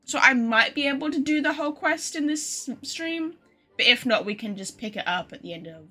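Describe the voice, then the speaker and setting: A woman's voice: high voice, lively intonation, fairly quick, pushed and bright. A woman chatting casually with viewers on a game livestream, thinking aloud in an informal, chatty way. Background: game music.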